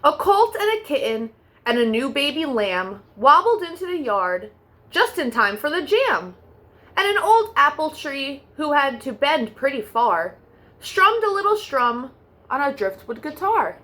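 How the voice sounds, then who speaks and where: A woman hosting a children's storytime, reading a rhyming picture book aloud in an expressive, sing-song way. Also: high voice, unhurried.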